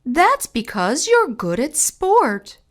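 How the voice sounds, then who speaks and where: A male narrator voicing a gentle mother who reassures her daughter in a soothing way. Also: very high voice, huge pitch swings, takes it slowly, voice pushed up, exaggerated voice.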